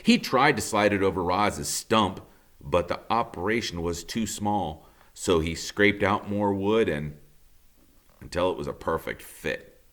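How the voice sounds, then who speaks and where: A man reading a children's storybook aloud as expressive narration, like a teacher to pupils. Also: sits low, unhurried.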